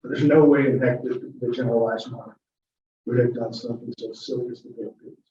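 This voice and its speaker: A man's voice: mid-range voice, fairly even intonation, fast talker, mellow, a little hiss or hum. A man giving a lecture, answering audience questions in a chatty, digressive way with chuckles. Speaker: guest lecturer. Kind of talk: informal Q&A with audience. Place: lecture room. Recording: echoey, muffled room from a distance.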